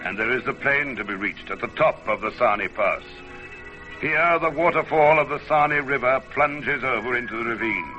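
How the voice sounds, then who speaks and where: A man's voice: medium pitch, normal speed, lo-fi sound, soft background music, close to an everyday voice. A man playing a dramatic storyteller, narrating an old-time radio adventure in a theatrical, incantatory way. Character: dramatic male storyteller of a perilous mountain climb.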